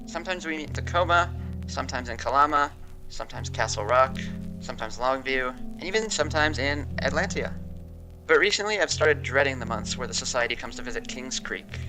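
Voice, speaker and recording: A man's voice: mid-range voice, natural voice, poor audio. An actor playing a fussy, digressive male accountant in his thirties, telling his story in an audio drama. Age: 30s. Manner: digressive, opinionated, particular.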